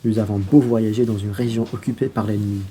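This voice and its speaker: A man's voice: on the low side, fairly even intonation, a little fast, mellow, a little hiss or hum, lightly acted. A man playing a grumbling, practical stranded traveller in an amateur radio play, with plain-spoken delivery. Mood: exasperated.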